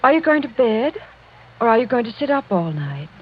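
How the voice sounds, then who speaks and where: A woman's voice: fairly high pitch, big pitch swings, brisk, breathy edge. An actress playing a devoted, worried young noblewoman in a radio mystery, speaking with pleading urgency. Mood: pleading concern. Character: anxious, devoted young noblewoman, the count's niece. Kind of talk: 1940s radio mystery acting. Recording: muffled, noisy 1940s radio recording.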